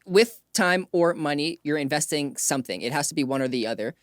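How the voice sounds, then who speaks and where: A man interviewing conversationally as a podcast host, with a measured, reflective way of talking. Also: on the high side, animated, no echo, studio quality.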